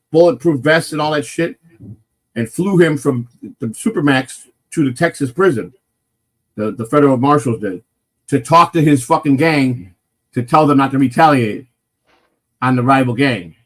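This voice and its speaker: A man with a naturally loud, mid-pitched voice tells a long story on a casual morning stream. He rambles, swears freely, and keeps restarting his sentences. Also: moderate pace, long pauses, rough recording. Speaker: morning show host telling a personal story.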